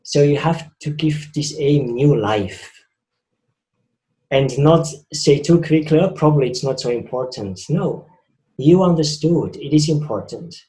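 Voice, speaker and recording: A man's voice: fairly high pitch, animated, unhurried, warm tone, roomy sound, poor audio. A man with a Swiss accent giving a reflective spiritual talk, earnest and repeating phrases for emphasis. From Switzerland. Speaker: spiritual speaker.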